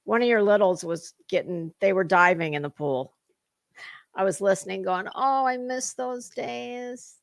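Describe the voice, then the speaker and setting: A woman's voice: mid-range voice, a little slow, gravelly, dry recording, studio quality. A woman hosting a livestream, chatting casually with viewers in a rambling, informal way. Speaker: livestream host.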